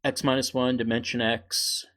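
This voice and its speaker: A man's voice: mid-range voice, little pitch movement, normal speed, a bit bright. Middle-aged man telling stories casually. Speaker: podcast host. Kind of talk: casual conversational storytelling.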